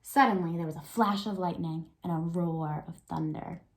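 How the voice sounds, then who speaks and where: A woman's voice: on the low side, normal speed. A woman, an elementary teacher giving a read-aloud lesson to young children and thinking aloud with prompting questions.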